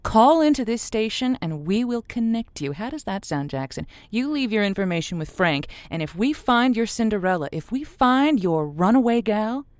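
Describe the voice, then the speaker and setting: A woman's voice: on the high side, big pitch swings, moderate pace, few pauses, dry recording. Wry woman radio host, dry studio sound. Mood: encouraging, assured. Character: wry female late-night lonely hearts radio host. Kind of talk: semi-improvised audio drama, radio call-in show patter.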